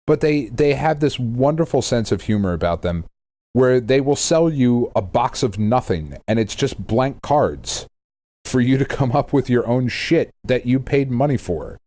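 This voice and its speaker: A man's voice: medium pitch, expressive, measured pace, dark tone. A middle-aged American man co-hosting a podcast with casual, rambling, jokey banter and some swearing. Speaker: podcast co-host.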